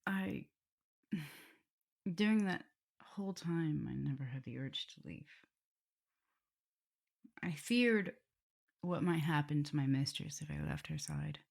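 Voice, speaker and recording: A woman acts out a loving, nervous vampire mistress for an ASMR roleplay. Her voice sits very low for a woman, with heavy vocal fry. She talks haltingly and intimately, easily drifting toward a whisper. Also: expressive, heavily acted. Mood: regretful. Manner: hesitant, halting, breaking into whispers and soft laughs. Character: tender, nervous, guilt-ridden female vampire mistress in love.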